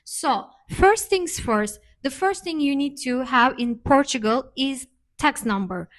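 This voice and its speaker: A woman's voice: high-pitched, lively intonation, measured pace. A woman from Turkey, co-hosting a casual chat and explaining things with frequent hesitations. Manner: explanatory, many hesitation fillers. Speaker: co-host.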